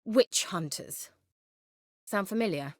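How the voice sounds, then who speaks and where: Snooty flirty sorceress from a fantasy game, sarcastic, super lively pitch, dry close sound. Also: female voice, slow pace, dry recording. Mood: grave, indignant. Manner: haughty, teasing, biting sarcasm. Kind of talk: video game character dialogue.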